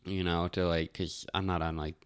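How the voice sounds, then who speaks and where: A man's voice: low voice, flat delivery, moderate pace, smooth, no room sound, clean studio sound. A man in a casual podcast chat, talking in a slangy, informal way with plenty of swearing.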